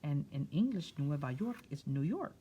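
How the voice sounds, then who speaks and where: A woman reading a picture book aloud for children, stretching key words expressively and pausing for soft explanatory asides. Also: deep voice, very animated, muffled recording, slight background noise. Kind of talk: read-aloud story time with explanatory asides.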